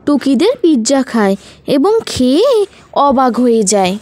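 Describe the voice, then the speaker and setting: High, lively woman story narrator. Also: a little slow, faint music behind. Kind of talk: scripted children's story narration.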